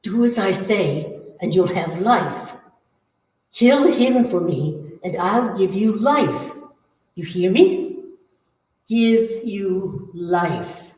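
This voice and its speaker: Woman playing a slow, menacing old vampire woman, echoey. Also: medium pitch, animated, a little mellow. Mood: urgent, conspiratorial. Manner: slow, commanding, drawn-out phrasing. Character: menacing old female vampire, undead and bloodthirsty. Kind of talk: old-time radio horror acting. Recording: echoey hall, far from the mic.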